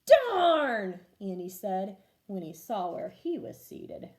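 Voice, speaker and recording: A woman reading a children's storybook aloud as a teacher would, expressive and clearly paced for young listeners. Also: medium pitch, measured pace. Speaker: first grade teacher reading stories aloud.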